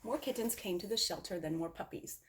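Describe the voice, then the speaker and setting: A woman reading a picture book aloud to children in an expressive storytelling style. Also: medium pitch, a little fast, slight background noise. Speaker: storybook reader.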